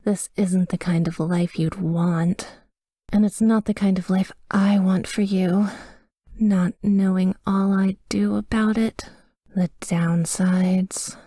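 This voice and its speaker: Woman doing a whispery vampire girlfriend for asmr, breathy n slow, tender but tormented, real soft dark tone, very close dry sound. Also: medium pitch, level tone, no echo. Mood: wistful, resigned. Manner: slow, hushed, intimate delivery. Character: tender, tormented female vampire lover watching over her sleeping partner.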